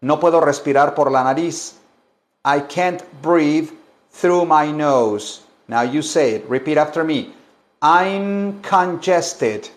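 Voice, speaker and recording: Slow, patient man drilling vocabulary. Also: fairly high pitch. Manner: slow, deliberate drilling with many repetitions. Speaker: English teacher. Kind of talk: bilingual language lesson.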